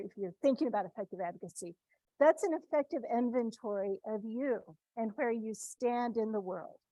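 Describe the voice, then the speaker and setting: Woman from the Midwest, fairly high voice, talks to students in a chatty, restart-heavy way; dry, close recording. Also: darkish tone, no room sound, poor audio. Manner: conversational, many restarts and tag questions. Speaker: speaker addressing students. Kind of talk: informal talk to students.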